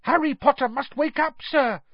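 A narrator voicing a small male house-elf servant, squeaky, earnest and deferential, in an audiobook style. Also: normal speed, dry recording, dull audio. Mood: insistent. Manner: speaks of himself in third person, deferential, broken grammar. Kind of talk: audiobook character voice.

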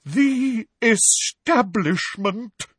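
A man voicing a hysterical, theatrical woman seer and teacher, high-pitched and wavering, full of wounded indignation in a storybook reading. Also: expressive, a bit bright, breathy edge, dry recording, clean studio sound, exaggerated voice. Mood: dramatic self-pity. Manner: melodramatic, drawn-out, throbbing with emotion.

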